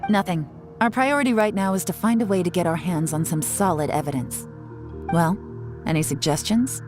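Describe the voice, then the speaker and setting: An actress playing a poised, cunning intelligence agent in a video game, coolly in command. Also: female voice, on the low side, moderate pace, over music, lightly acted. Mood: sly, probing.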